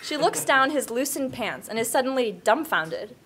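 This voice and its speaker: A woman's voice: sits high, expressive, normal speed, fairly bright tone. A woman reading scene headings and stage directions as the narrator at a script table read. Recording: table read with background noise.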